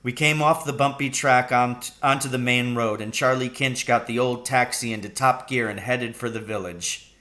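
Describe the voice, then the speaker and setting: A man reading a children's story aloud as narrator, in an expressive storytelling style. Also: medium pitch, moderate pace. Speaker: audiobook reader.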